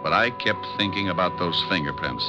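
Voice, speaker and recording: An actor playing a suave, quick-witted private detective in a 1950s radio drama, brisk, probing and confident. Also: male voice, sits low, over loud music, close to an everyday voice, rough recording. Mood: wry narration.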